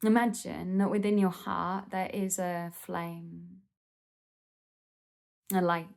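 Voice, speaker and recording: A woman leading a guided meditation in a gentle, soothing, unhurried manner with pauses between phrases. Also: lowish voice, flat delivery, creaky.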